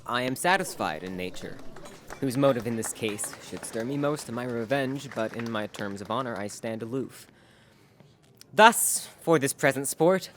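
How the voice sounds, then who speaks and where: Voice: medium pitch, fairly bright tone. An actor playing a proud, vengeful young nobleman in a Shakespearean audio drama, formal and declamatory.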